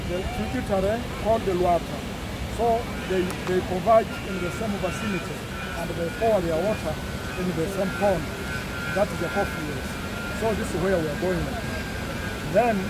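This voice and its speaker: A middle-aged man, a community conservation director, giving a halting, formal guide talk outdoors by a river, recorded on a phone camera. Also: high-pitched. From Uganda. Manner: halting, many "uh" fillers, formal wording. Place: outdoors by a river in green hills. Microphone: camera phone at close range. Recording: loud rushing water and outdoor noise.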